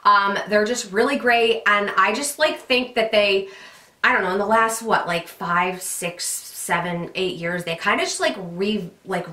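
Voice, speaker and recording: A woman vlogger in her thirties talks brightly and chattily, tossing in plenty of fillers as she gushes. She sounds slightly stuffed up and nasal. Her voice rings in a roomy, echoing home studio. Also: mid-range voice. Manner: chatty, gushing, many fillers. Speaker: beauty vlogger. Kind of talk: casual product recommendations to camera. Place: pink bedroom studio with vanity mirror and lit tree.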